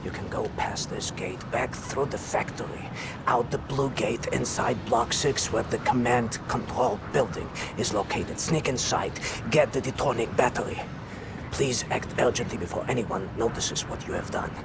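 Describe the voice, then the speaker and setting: An actor playing an elderly, eccentric inventor prisoner in a video game, with a halting, musing, ornate way of speaking. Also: male voice, medium pitch, vocal fry, heavy background noise, natural voice. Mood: musing, urgent. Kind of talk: video game cutscene acting. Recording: loud music over the voice.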